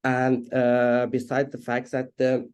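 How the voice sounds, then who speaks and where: A man's voice: medium pitch, completely flat delivery, slow pace, a little mellow, smooth. A man from Azerbaijan giving a webinar presentation over a video call, speaking with many fillers and restarts. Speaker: HIV activist presenter.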